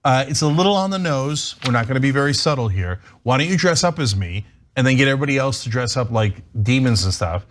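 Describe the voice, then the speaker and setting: A man's voice: mid-range voice, expressive, fast, fairly bright tone, a little hiss or hum. A man hosting a news talk show, giving sarcastic commentary in a mocking storytelling way.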